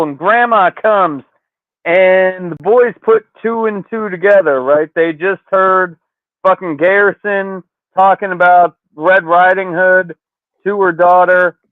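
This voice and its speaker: A man's voice: high voice, no echo. A man hosting a podcast, casually recapping a story in slangy, profane language, with thin phone-like sound. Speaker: podcast host.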